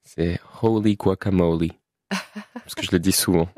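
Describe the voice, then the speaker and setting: A man in his twenties from Belgium, a musician guest chatting casually in an interview, relaxed with frequent laughs and fillers. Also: low voice, normal speed, dry recording, studio quality. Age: 20s.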